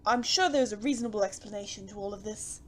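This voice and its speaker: A woman playing a doting, pompous gentleman suitor in a period audio drama, with gallant, flowery and fussy delivery. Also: mid-range voice, fast, natural voice. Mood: fretful, doting. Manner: flowery, gallant, fussy, stammers when flustered.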